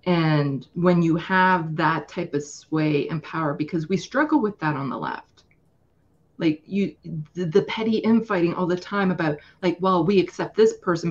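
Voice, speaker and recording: A woman's voice: on the low side, dull audio. A woman guest speaking earnestly and emphatically in a casual political discussion, often acting out imagined quotes.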